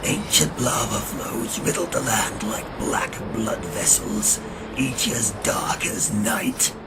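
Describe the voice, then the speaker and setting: A man playing a grim, ancient vampire hunter who narrates a gothic audio drama in a solemn, ornate and gravelly manner. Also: on the low side, animated. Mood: ominous, solemn. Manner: solemn, ornate, deliberate delivery. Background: dramatic music.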